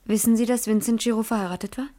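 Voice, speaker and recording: A woman's voice: on the high side, steady tone, fast talker, dark tone, natural voice. An actress playing a shrewd, dry-witted female investigator in a 1980s German radio crime drama. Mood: probing. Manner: dry, probing.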